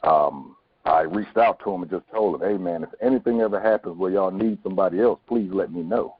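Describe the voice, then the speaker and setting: A middle-aged man answering personally and sincerely as an interview guest, in a halting manner. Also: low voice, dry recording. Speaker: stage actor and podcast guest. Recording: muffled phone-like call.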